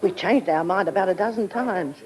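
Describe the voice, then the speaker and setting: A woman's voice: mid-range voice, a little fast, natural voice, rough recording. An actress playing a chatty, devoted older lady's companion in a radio play, gushing and familiar. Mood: gossipy. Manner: gushing, familiar, calls people dear. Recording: radio drama with crowd murmur.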